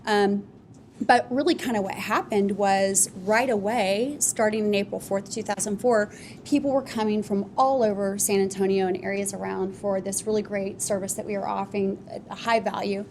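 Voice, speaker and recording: A woman founder on a live podcast panel, telling her business story at length in a relaxed, rambling way with many fillers. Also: mid-range voice, moderate pace, slight background noise. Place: office podcast studio with live audience.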